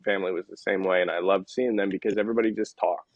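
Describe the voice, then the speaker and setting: A man's voice: sits low, fairly even intonation, fast, no room sound, dull audio. A man from the Midwest, a podcast guest, telling rambling, easygoing stories about his childhood in casual chat. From American Midwest.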